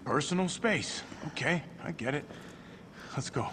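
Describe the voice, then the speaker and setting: A man's voice: on the high side, measured pace, very noisy. An actor playing a cocky young male mercenary rescuer with an easygoing, joking way of talking. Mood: breezy. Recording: game cutscene under loud music.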